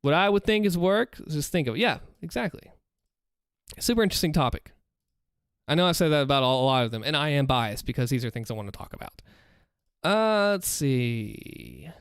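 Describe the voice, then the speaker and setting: A man's voice: fairly high pitch, sing-song, normal speed, pauses often, smooth, no room sound, studio quality. A man with a Southern US accent, chatting casually on a livestream, thinking aloud and encouraging viewers. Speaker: streamer. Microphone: close desk mic with headphones.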